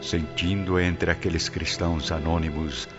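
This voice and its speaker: A man with a Brazilian accent narrating a radio drama in a solemn, literary, measured style. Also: sits low, fairly even intonation. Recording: dull lo-fi audio under loud music.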